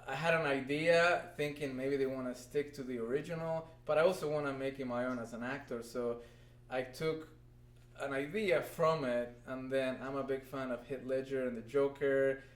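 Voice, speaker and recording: A man's voice: medium pitch, fairly even intonation, moderate pace. First guy chatting easy and upbeat in an echoey room. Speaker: voice actor guest. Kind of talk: casual interview chat. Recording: roomy stream audio.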